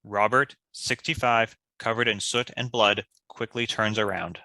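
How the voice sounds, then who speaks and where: A man's voice: lowish voice, fairly even intonation, a little slow, bright, forceful tone, dry recording, rough recording. A man reading stage directions as scripted narration.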